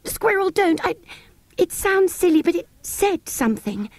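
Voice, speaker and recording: An excitable, kindly young girl from a storybook, as a woman narrator puts her on. Her voice jumps high and moves animatedly. She is eager, urgent and breathlessly earnest. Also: measured pace, dry recording, heavily acted. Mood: pleading.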